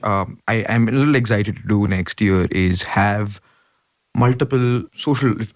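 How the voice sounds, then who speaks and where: A man's voice: on the low side, no echo. A young man, an MBA student on a podcast, who starts with hesitant "um"s and then gets eager about his ideas. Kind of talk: casual podcast chat.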